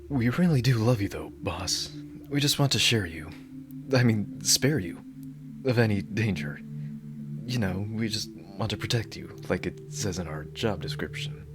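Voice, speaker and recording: A man's voice: fairly low pitch, lively intonation, normal speed, close to an everyday voice. A man playing a devoted, dorky yandere bodyguard in an ASMR roleplay, earnest and rambling, awkwardly correcting his own slips. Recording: loud music behind the voice. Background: music.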